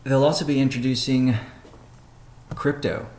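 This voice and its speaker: A man giving a measured, businesslike update on a conference call, pausing between phrases as he reads out points and answers questions. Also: medium pitch, little pitch movement, darkish tone. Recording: noisy conference call audio.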